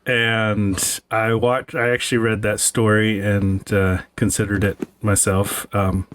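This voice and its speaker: Casual man, somewhat low voice. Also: flat delivery, slow, a bit bright. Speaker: podcast panelist, software developer. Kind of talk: casual panel chat.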